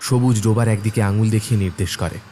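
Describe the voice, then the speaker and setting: A man's voice: on the low side, steady tone, fast talker, warm tone, a little hiss or hum. A man narrating a scripted horror cartoon as a dramatic storyteller.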